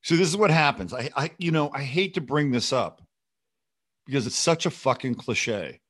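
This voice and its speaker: A man's voice: mid-range voice, expressive, moderate pace, fairly bright tone. A man speaks as the host of a political commentary show, in a mid-range voice. His delivery is unscripted and rambling. He sounds sardonic and skeptical, with casual profanity mixed in.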